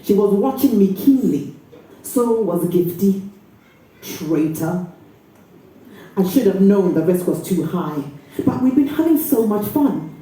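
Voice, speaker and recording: A woman actor giving an expressive, deliberate dramatic reading from a novel. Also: mid-range voice, far from the mic. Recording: very echoey room, faint noise.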